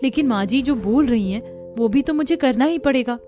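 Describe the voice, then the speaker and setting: A woman voices a young bride in a cartoon family drama. She is high-pitched, polite and submissive, with a plaintive, hopeful way of talking over faint music. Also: moderate pace, dark tone, natural voice. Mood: meek, plaintive.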